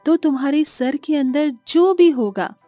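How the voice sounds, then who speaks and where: A woman voicing a kind, grateful doll fairy in an animated moral story, gentle and measured. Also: high-pitched, no room sound, faint music behind.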